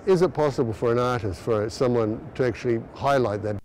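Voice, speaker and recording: A man from Australia gives an interview as an artist in a medium-pitched voice. He speaks reflectively, repeating words for emphasis, over faint noise. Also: fairly quick, darkish tone. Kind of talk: interview soundbite.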